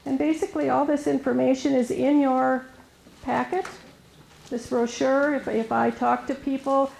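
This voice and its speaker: Soft-voiced woman with a gravelly tone. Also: high voice, little pitch movement, moderate pace, slight background noise. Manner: hesitant, many fillers and restarts. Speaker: resource specialist giving a presentation. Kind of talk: informal presentation to an audience. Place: meeting room with an audience. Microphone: room microphone recorded for camera. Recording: roomy echo.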